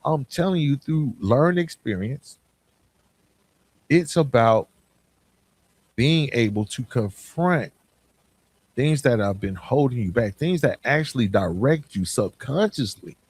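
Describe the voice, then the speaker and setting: A man's voice: mid-range voice, animated, takes it slowly, long pauses, a little mellow, slightly rough, dry recording. A man hosting a motivational podcast, speaking earnestly and emphatically in colloquial language straight to the listener. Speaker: podcast host.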